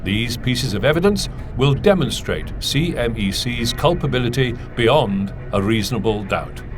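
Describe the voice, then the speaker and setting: A man's voice: mid-range voice, lively intonation, moderate pace, over music, lightly acted. A man playing a prosecuting attorney who gives a formal, earnest opening statement. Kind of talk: formal courtroom address.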